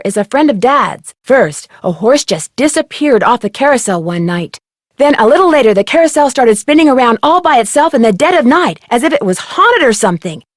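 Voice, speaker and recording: A curious young girl detective in a pc game, highish voice, polite and eager, dry close sound. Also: dry recording. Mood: eager, confiding. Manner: polite, inquisitive, earnest. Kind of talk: scripted game dialogue and narration.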